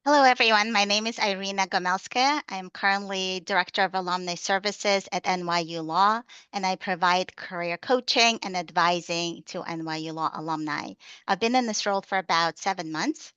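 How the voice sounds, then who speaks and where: Woman, native Russian speaker, career coach on a panel; bright, crisp tone, dry close sound. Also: mid-range voice, normal speed, dry recording, muffled recording. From former USSR. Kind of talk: panel self-introduction.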